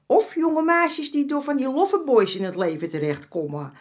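A woman's voice: sits high, very animated, moderate pace. An actress playing a streetwise, motherly older woman in a radio drama, talking bluntly and familiarly. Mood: confiding, indignant. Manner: familiar, blunt, calls men "jongen". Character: streetwise, motherly older woman of the red-light district, frank. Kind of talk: naturalistic Dutch radio drama acting.

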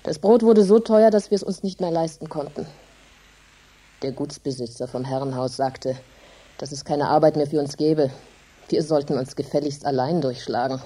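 A woman's voice: low voice, normal speed, breathy edge. An actress playing a grief-stricken, embittered poor farm widow, telling her story intensely and dramatically in a radio play. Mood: sorrowful, bitter. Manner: intense, dramatic, emphatic storytelling with hushed drops. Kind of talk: German radio drama monologue.

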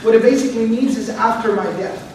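High-voiced male teacher in an echoey room. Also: fast talker, darkish tone, far from the mic, a little hiss or hum. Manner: repetitive, explains word by word, digressive. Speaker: Quran teacher. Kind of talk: explanatory lecture.

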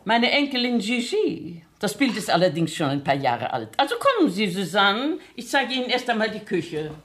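A woman's voice: medium pitch, very animated, normal speed, fairly bright tone. An older woman acting a proud, bossy, worldly grandmother in a German radio play, with a haughty, theatrical, emphatic delivery. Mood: proud, bustling.

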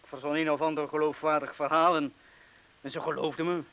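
A male actor as a wartime bomb disposal man who doubts his own courage, speaking in a slightly high voice. He talks colloquially and runs himself down bitterly, in an old radio drama with clear hiss over it. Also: level tone, a little fast, natural voice. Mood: bitter confession. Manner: colloquial, bitter self-deprecation. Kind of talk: Dutch radio play acting. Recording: hissy old radio play recording.

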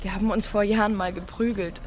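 A woman's voice: mid-range voice, steady tone, fast talker, slight background noise, lightly acted. An actress playing an insecure, resentful teenage girl in a radio drama, hesitant, questioning and defensive. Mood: reluctant, defensive. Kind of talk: naturalistic radio drama acting in German.

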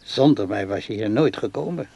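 A man acting in a radio play as an insistent, needy old man who talks haltingly to a much younger woman. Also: fairly low pitch, expressive, vocal fry, warm tone, lo-fi sound. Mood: eager, chatty. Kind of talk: naturalistic radio play dialogue.

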